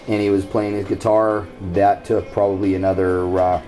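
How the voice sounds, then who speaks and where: Deep-voiced man from Canada, easy storyteller. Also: moderate pace, soft background music, low-quality recording. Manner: loud, relaxed storyteller with a frank sense of humor. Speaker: tribute singer being interviewed. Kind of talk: casual interview.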